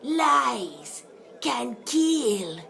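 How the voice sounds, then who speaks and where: A woman's voice: a little high, very animated, extremely slow, vocal fry, a bit bright, exaggerated voice. A woman playing an ominous, mysterious prophesier of doom in an audio drama, solemn and incantatory. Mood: ominous, foreboding.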